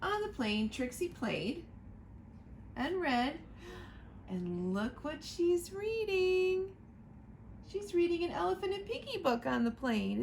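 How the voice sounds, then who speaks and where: A woman reading a picture book aloud to children, slow and expressive, pointing out the pictures as she goes. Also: very high voice, vocal fry, slight background noise. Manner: slow, expressive storytime reading, asking listeners to look at pictures.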